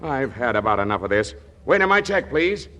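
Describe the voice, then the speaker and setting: An actor playing a gruff, pompous music publisher in a 1940s radio comedy. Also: male voice, medium pitch, very animated, a little fast, bright, forceful tone. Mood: exasperated. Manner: curt, haughty, blustering. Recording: vintage 1940s radio broadcast.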